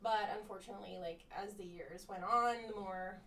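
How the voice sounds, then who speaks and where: A woman's voice: medium pitch, normal speed, fairly bright tone. A woman who grew up speaking Croatian at home, chatting casually as a guest in an upbeat way with lots of fillers. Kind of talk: casual chat. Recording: roomy, distant mic with faint noise.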